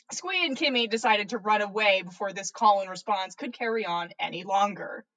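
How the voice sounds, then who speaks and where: A woman's voice: mid-range voice, moderate pace, a bit bright, rough recording. A woman reading fan fiction aloud as a podcast host, narrating with playful, theatrical emphasis. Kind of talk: dramatic story narration.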